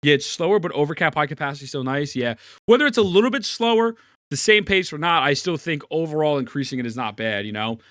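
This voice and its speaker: A man's voice: fairly high pitch, lively intonation, fast talker, a bit bright, dry recording, clean studio sound. A man streaming live, reacting to a video and chatting casually with his viewers, rambling and putting himself down while greeting chat. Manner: rambling, self-deprecating, greets chat often.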